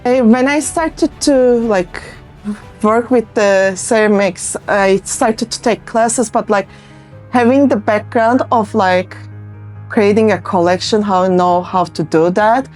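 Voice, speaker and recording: A woman with a Turkish accent talks casually as an interview guest, explaining her craft in an informal way. Her voice sits at a middling pitch, and she often slips into quoting her own thoughts. Music plays faintly behind her. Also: measured pace, a little mellow. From Turkey. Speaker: ceramic artist, interview guest. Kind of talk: casual interview chat about own work.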